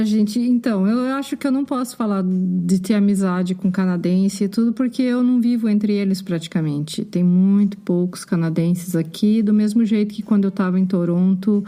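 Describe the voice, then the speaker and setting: A Brazilian woman with a Brazilian accent, a podcast guest chatting casually and frankly, animated and quick to laugh. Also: mid-range voice. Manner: animated, frank, swears when annoyed, laughs easily. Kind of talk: casual chat.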